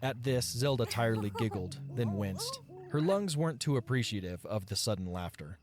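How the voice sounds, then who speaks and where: Man, narrator, lowish pitch, scripted storytelling, audio drama, prominent background music. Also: lively intonation, moderate pace.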